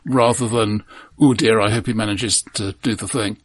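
A man co-hosting a casual film discussion in a measured, analytical way, often repeating words as he frames a point. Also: lowish voice, fairly even intonation. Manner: measured, analytical, halting repetitions. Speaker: film podcast co-host.

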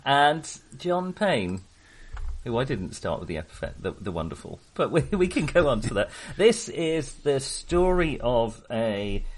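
A man hosting a podcast, talking casually about films in a jokey, wry manner with a husky voice. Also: medium pitch, very animated, measured pace. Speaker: podcast host. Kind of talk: casual film discussion.

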